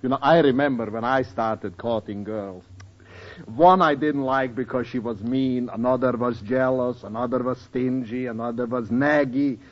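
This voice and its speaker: An actor playing a wry, teasing older professor in an old radio sitcom, with theatrical joke-telling and odd turns of phrase. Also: male voice, medium pitch, normal speed, lightly acted. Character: wry, teasing older professor, grumbling fondly about women. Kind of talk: 1940s-50s radio sitcom acting. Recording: dull old-time radio recording.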